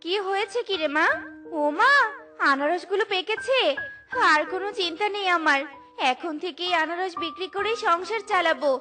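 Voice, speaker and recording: A woman's voice: very high-pitched, moderate pace, music in the background. A woman voicing a poor, humble and caring mother bird in a cartoon, with an earnest, plaintive manner. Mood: relieved. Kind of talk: cartoon voice acting.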